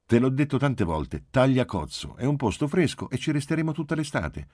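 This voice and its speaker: A man's voice: medium pitch, very animated, fairly quick, darkish tone, no room sound, clean studio sound, voice pushed up. A man reading a story as a firm mother, brusque but good-humoured with her small child. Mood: brisk, patient. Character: brisk, firm young mother of small girl.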